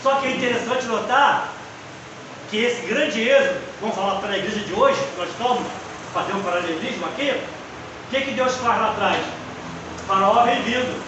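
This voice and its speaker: A Brazilian man in his fifties delivers a fervent seminary lesson, pressing his listeners with rhetorical questions and personal testimony. His voice sits high and rings through a very echoey room. It is caught from a distance, with steady background noise. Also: moderate pace, dull audio. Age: 50s. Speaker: seminary teacher. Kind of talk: preaching lecture on the Psalms. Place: classroom.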